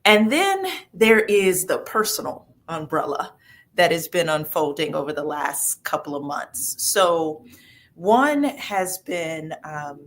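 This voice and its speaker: A woman's voice: sits low, big pitch swings, slow. A woman with a Southern US accent chatting casually as a talk show guest, folksy and emphatic, repeating phrases for emphasis. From the southern United States. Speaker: regular guest, nonprofit executive director. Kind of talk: casual chat and personal updates.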